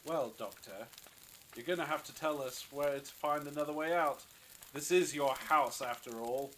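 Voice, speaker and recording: A man's voice: a little high, normal speed, fairly bright tone, slight background noise, close to an everyday voice, poor audio. A man playing a menacing, accusing leader in an audio drama, commanding and sneering as he interrogates. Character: menacing, accusing male leader bullying the Doctor.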